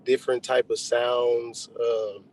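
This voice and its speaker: A man's voice: mid-range voice, little pitch movement, takes it slowly, darkish tone, slight background noise, rough recording. A man chatting casually and reflectively as an interview guest, in a laid-back way. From Texas, United States. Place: remote video call.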